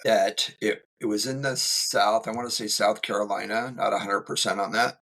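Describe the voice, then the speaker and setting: A middle-aged man co-hosts a podcast and rambles through stories with dry, teasing humor. His voice is husky, bright and forceful, and sits a little low. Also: fairly even intonation, normal speed. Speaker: school safety specialist, co-host. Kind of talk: casual podcast chat.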